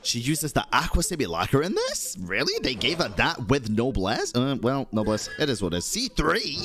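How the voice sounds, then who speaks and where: A young man streams a game and reacts to it, swearing freely and talking straight to his chat. His voice swings up and down in pitch. Game music and sound play underneath him. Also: moderate pace. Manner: excitable, swears often, talks to chat. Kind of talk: casual game commentary.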